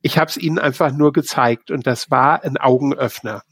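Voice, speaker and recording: Man, gravelly voice, dry close recording, earnest, hesitant. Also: medium pitch, moderate pace, fairly bright tone, dry recording. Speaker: business consultant. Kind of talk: casual chat.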